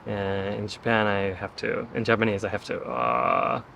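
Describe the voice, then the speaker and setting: A man's voice: lowish voice, fairly even intonation, moderate pace, creaky, bright, forceful tone, slight background noise. A young man being interviewed, earnest and agreeable, chatting with hesitant fillers. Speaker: interview guest living abroad. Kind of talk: casual conversational interview.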